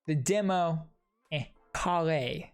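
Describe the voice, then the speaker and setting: A man's voice: fairly high pitch, slow. A young man reacting to game trailers as a content creator, talking in a casual, rambling, chatty way. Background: game trailer music.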